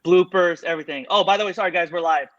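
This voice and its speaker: A man's voice: high-pitched, quick pace, fairly bright tone. Chatty man in his thirties, lo-fi. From California. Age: 30s. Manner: loose, chatty, with fillers. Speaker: podcast host. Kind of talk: casual podcast chat. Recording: dull lo-fi audio.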